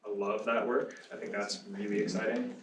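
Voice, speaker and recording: A man's voice: lowish voice, flat delivery, moderate pace. A man speaking informally as a lecturer answering questions after a talk, in a casual, conversational way. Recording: roomy lecture sound, distant mic, faint noise.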